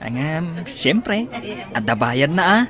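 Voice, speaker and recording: A man's voice: on the high side, sing-song, slow pace, crowd noise. An actor with a Filipino accent playing a stubborn young male porter in a radio drama. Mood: eager, friendly.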